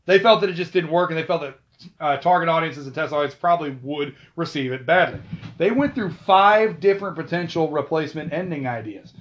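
A man casually talking through film trivia, chatty, with asides and quick self-corrections. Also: fairly high pitch, lively intonation, dull audio. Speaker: video host.

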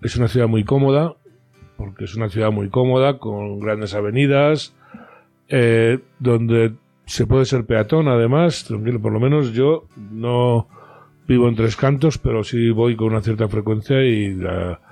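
A man's voice: mid-range voice, measured pace, vocal fry, warm tone. A male interviewer with a mid-range voice and an easy, casual manner. He chats with his guest and jumps in to complete the guest's phrases. Faint music runs underneath. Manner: chatty, conversational, finishes the guest's sentences.

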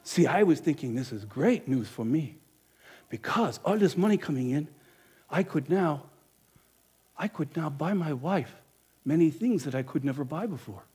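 A man playing a businesslike village innkeeper in an animated first-person monologue, emphatic and speaking straight to the audience. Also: a little high, slightly rough. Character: businesslike male village innkeeper, proud then guilty.

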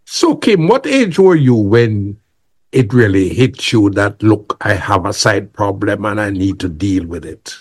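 A man's voice: fairly low pitch, very animated, unhurried. A man hosting a radio interview, asking prompting questions and often murmuring agreement. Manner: prompting questions, frequent backchannel.

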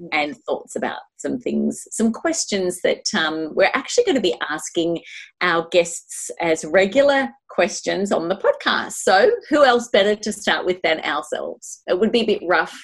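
A woman in her fifties with an Australian accent, co-hosting a podcast in a casual, conversational style. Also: fairly low pitch. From Melbourne, Australia. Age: 50s. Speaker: podcast host.

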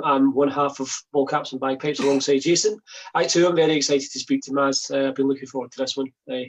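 A man co-hosting a casual show, easygoing and asking relaxed questions. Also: medium pitch, rough recording. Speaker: co-host.

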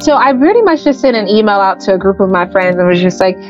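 A woman's voice: medium pitch, fast. Young woman from liberia, chill and chatty, kinda muffled sound, faint music. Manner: relaxed, chatty, uses "like" and "you know". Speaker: interviewee, film festival founder. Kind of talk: casual interview.

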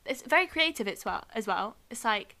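A young woman, chatting casually on a podcast about growing up speaking Arabic, lively and quick to laugh. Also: on the high side. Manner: animated storyteller, laughs often. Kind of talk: casual chat.

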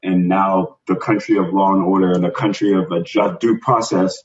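A man talking quickly and emphatically in a casual podcast discussion. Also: deep voice, breathy edge. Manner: rapid, animated, emphatic. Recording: dull lo-fi audio.

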